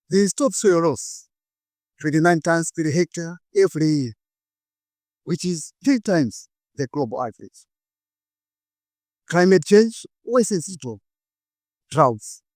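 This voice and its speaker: A man with a Malawian accent delivers a prepared inspirational talk in a slightly high voice. The sound is very dry, with almost no room echo. He speaks in short, deliberate phrases and leaves dramatic pauses between them. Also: a little mellow. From northern Malawi. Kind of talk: rehearsed inspirational public speech with personal storytelling.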